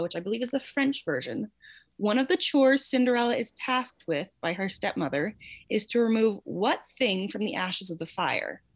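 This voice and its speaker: A woman hosting a quiz as guest quizmaster in a casual, encouraging way. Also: on the high side.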